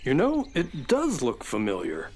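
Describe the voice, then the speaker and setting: A woman's voice: extremely low pitch, huge pitch swings, a little slow, a little mellow, a little hiss or hum, heavily acted. A woman playing an earnest, level-headed space adventurer with precise, informative delivery in a children's audio drama. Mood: curious.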